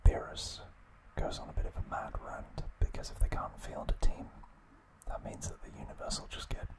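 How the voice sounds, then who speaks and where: A man whispering an ASMR trivia recap in a breathy, unvoiced, hushed voice with gentle, halting pauses. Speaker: ASMR host.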